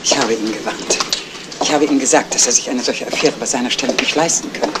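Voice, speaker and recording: Stern middle-aged sister, clipped formal replies, defensive, retro crime radio drama, clearly noisy recording. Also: female voice, very deep voice, fast. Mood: bitter, defensive. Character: stern, guarded sister of a dead man, former nurse. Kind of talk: 1970s German crime radio play acting.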